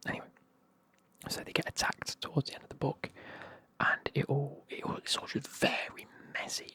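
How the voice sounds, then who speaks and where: A speaker, whispering a casual, rambling recap of a fantasy novel full of fillers. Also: medium pitch. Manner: soft whisper, rambling, frequent fillers and swearing. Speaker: book reviewer. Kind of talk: whispered ASMR book recap.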